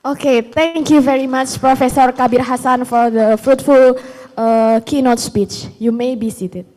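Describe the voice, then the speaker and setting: Woman emcee, polite formal announcing, higher voice, long hall reverb. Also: steady tone, a little slow, darkish tone. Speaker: master of ceremonies. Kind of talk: formal event hosting. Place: conference hall.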